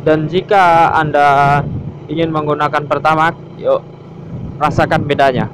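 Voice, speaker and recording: A man's voice: fairly high pitch, steady tone, unhurried. A man chatting casually and chummily to viewers as a motovlogger, recorded outdoors while riding a motorbike. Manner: addresses viewers chummily, often tagging phrases with "guys". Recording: noisy outdoor ride with engine and wind. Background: vehicle and outdoor noise.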